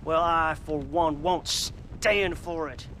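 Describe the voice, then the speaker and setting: An actor playing a bigoted, self-righteous western storekeeper with an American accent, ranting in a video game cutscene. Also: male voice, a little high, slow pace, pushed and bright, slight background noise. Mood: indignant ranting. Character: bigoted, paranoid old-west storekeeper, indignant crank.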